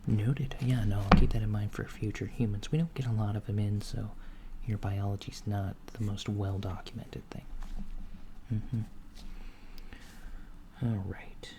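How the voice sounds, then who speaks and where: A man voicing a calm alien doctor in a softspoken ASMR roleplay, talking in a hushed, partly whispered way with an unhurried, hesitant manner. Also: on the low side, noisy background. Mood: calm clinical. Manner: unhurried, hesitant, with many fillers and murmured acknowledgments. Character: calm alien doctor examining a human patient.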